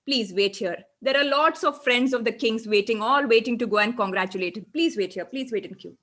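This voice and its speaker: A woman's voice: fairly high pitch, a little fast, fairly bright tone, close to an everyday voice. A woman voicing a condescending male palace gatekeeper who brushes people off. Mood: mocking. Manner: condescending, dismissive.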